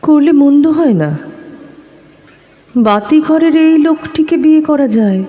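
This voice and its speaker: Actress as high-voiced, wry married woman, dull recording. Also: expressive, takes it slowly. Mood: coy. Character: unhappily married woman, wry, resigned, teasing lover. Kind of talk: Bengali audio drama dialogue. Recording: dull lo-fi, faintly noisy.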